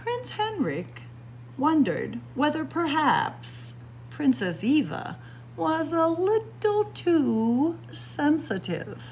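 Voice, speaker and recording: A woman reading a children's picture book aloud with deliberate, expressive storytelling. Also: sits high, low-quality recording. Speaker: storytime reader. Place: indoors, room with library bookshelves. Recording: faint background noise.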